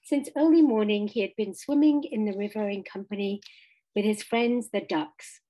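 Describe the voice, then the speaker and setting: A woman reading a children's animal story aloud as expressive scripted narration. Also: medium pitch, moderate pace, rough recording. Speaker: audiobook reader.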